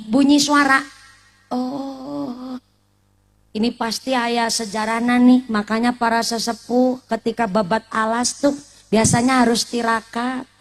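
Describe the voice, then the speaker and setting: A woman in her late twenties giving a humorous sermon, teasing the congregation with questions and mixing in regional words. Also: on the high side. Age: late 20s. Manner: lively, teasing, asks the audience questions, mixes in Javanese and Sundanese words. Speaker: preacher.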